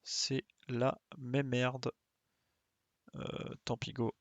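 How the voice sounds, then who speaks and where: A man's voice: mid-range voice, flat delivery, extremely slow, no room sound, muffled recording. A man giving casual live game commentary, muttering his reactions to himself. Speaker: game streamer.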